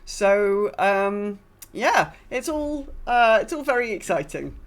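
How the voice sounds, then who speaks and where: A woman's voice: mid-range voice, sing-song, slow pace. A woman co-hosting a podcast, reading a game lore recap in a casual way with dry, sardonic asides. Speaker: podcast co-host.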